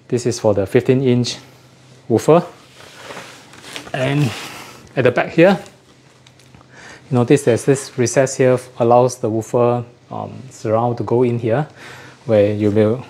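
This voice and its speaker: A man giving a casual unboxing chat as a host, often pausing to rephrase himself. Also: medium pitch, a little mellow, a little hiss or hum. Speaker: host of an audio gear channel.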